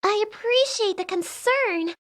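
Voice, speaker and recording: Woman voicing a very high-pitched, girlish young princess. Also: measured pace, a bit bright. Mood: troubled. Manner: earnest, girlish. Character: young sheltered princess, earnest, affectionate, eager to learn. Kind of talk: video game fandub acting.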